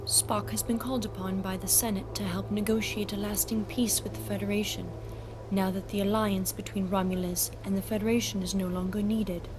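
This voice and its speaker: A woman's voice: mid-range voice, flat delivery, a little fast, background noise, close to an everyday voice. A woman playing a devoted, earnest Romulan follower of logic, with formal, careful phrasing in a sci-fi audio drama.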